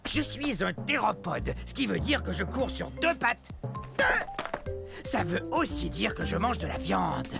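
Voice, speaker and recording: A woman voicing an excitable, showy meat-eating dinosaur in a children's educational cartoon, theatrically addressing the viewer. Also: medium pitch, a little slow, creaky, heavily acted. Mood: gleeful, showy. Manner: theatrical, exclaiming, talking to viewer. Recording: music behind the voice.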